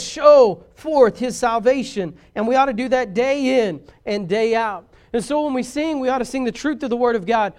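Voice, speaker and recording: A pastor preaching a sermon, shifting from quiet confiding asides to loud emphatic declarations. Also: male voice, very high voice.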